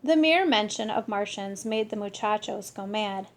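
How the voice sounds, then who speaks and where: A woman reading a children's story aloud in an expressive, animated way with deliberate phrasing. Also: mid-range voice. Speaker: storybook reader.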